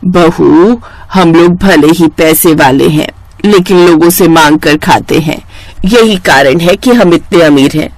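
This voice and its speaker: A woman puts on a bossy older mother-in-law for a storybook tale. The voice drops lower, matter-of-fact and commanding, with a dry close sound. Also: a little slow, dry recording, low-quality recording. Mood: bossy, approving.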